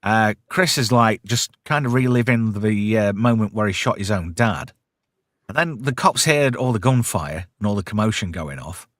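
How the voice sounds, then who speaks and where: A man's voice: lowish voice, animated, normal speed, fairly bright tone, no echo, studio quality. A British man hosting a pop culture show, recapping casually in sweary, irreverent slang.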